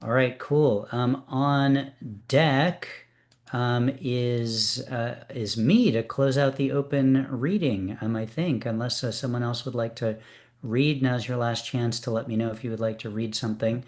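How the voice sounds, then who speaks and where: A man with a middle-pitched voice hosts an open reading in a casual, conversational way. He speaks encouragingly, like someone used to introducing people and responding to them. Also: fairly even intonation, measured pace, a little mellow. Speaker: host of a poetry open reading. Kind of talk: casual hosting chat.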